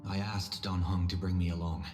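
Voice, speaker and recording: An actor voices a reserved, thoughtful man with secrets in a video game. His low voice stays very flat and solemn, with a measured delivery. The room sounds echoey. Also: close to an everyday voice. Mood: grave, thoughtful. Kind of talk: video game story voice acting. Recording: roomy echo, faint music behind.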